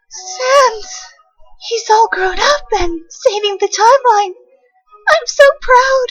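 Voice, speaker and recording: A very high, squeaky young monster a woman acting it out stammers and gushes with emotion. Music plays behind this cartoonish fan dub. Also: extremely slow, long pauses, fairly bright tone, dry recording, highs cut off, exaggerated voice. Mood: tearful pride. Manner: stammering, gushing. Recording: music under the voice.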